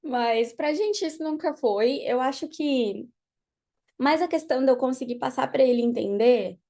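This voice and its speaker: A young Brazilian woman, a podcast guest in a studio, tells her story in a relaxed, animated way and laughs often. Her voice sits a little high. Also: moderate pace. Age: young adult. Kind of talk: casual interview chat.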